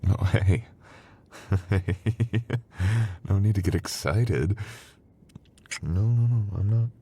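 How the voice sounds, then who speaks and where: Man playing a very deep, roguish sorcerer boyfriend. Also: takes it slowly, dark tone, slight background noise. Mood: playful. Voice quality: very deep, gravelly.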